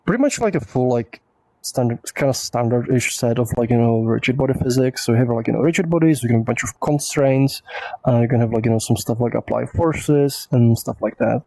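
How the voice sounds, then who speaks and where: A man whose first language is Czech talks through viewer questions in a loose, rambling way, full of "like" and "um". His voice has a gravelly edge and a mellow, dark tone. The sound is close and dry. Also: medium pitch, fairly quick, no echo, low-quality recording. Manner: rambling, filler-heavy, reads questions aloud. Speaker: host answering viewer questions. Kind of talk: casual livestream Q&A.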